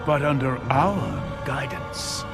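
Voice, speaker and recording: An actor playing a haughty, silky alien zealot leader in a video game, orating with grandiose condescension. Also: male voice, mid-range voice, animated, slow, creaky, over loud music. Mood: grandiose, menacing. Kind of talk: theatrical video game villain acting.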